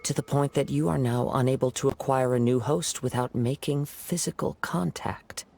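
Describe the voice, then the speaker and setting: An actor voicing a calm, perceptive young swordsman who speaks in a measured, analytical way. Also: medium pitch, gravelly. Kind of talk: game voice acting. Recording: game soundtrack under voice.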